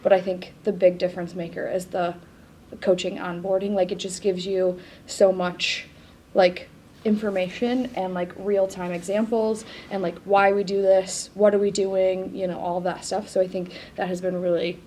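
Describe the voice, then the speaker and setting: A woman fitness coach speaks casually, with lots of filler. Her delivery is flat and her voice is mellow. A faint background noise is present. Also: mid-range voice, normal speed.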